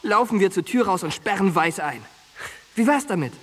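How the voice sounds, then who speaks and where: Ein Schauspieler in einem Hörspiel spielt einen derben, eindringlichen jungen Soldaten und treuen Freund mit genervtem Temperament. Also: male voice, high-pitched, lively intonation, normal speed, fairly bright tone. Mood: excited. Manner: blunt, coarse, insistent. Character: streetwise, exasperated young soldier, loyal friend. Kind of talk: naturalistic German radio drama acting.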